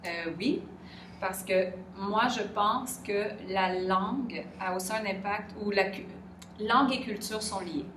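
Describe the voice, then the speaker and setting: A middle-aged Québécoise woman with a Québécois French accent, answering interview questions thoughtfully and pausing often to search for her words. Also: mid-range voice, fairly bright tone. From Quebec. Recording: echoey room, distant mic, background noise.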